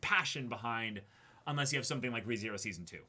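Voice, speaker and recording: A young man co-hosting a show, chatting casually and reading aloud with halting, thoughtful phrasing. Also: medium pitch, bright, forceful tone, a little hiss or hum. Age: young adult. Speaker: co-host of an unboxing show. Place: small indoor room.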